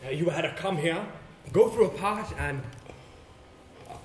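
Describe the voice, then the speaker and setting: A man acting in an amateur stage play as an earnest actor, speaking in a theatrical, measured way with dramatic pauses. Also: a little high, lightly acted. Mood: puzzled, intrigued. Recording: echoey stage recording, faint noise.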